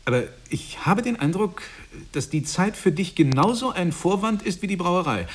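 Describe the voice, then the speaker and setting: An actor playing a well-off, smugly concerned husband and friend who lectures in an earnest, patronising way in a radio drama. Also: male voice, high-pitched, animated, normal speed. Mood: preachy. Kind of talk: stage-play radio drama acting.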